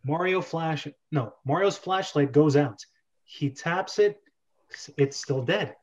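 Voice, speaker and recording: A man's voice: a little high, slow pace, breathy edge, muffled recording. A man in his thirties hosting a script read-through, narrating screenplay directions and chatting casually over a video call. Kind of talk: reading screenplay directions amid casual chat. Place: bedroom at home.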